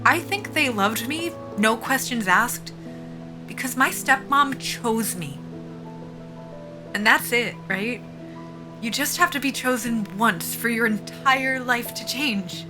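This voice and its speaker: A high-voiced female soldier in a cinematic audio drama, desperate and earnest. She talks in plain, informal words with a pleading edge, and music plays prominently behind her. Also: slow pace, poor audio. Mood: earnest, defensive. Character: desperate, earnest young female military lieutenant at job interview.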